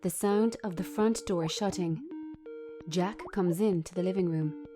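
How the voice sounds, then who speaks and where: A woman's voice: lowish voice, unhurried, over music. A woman narrating a script, reading out stage directions in short present-tense sentences.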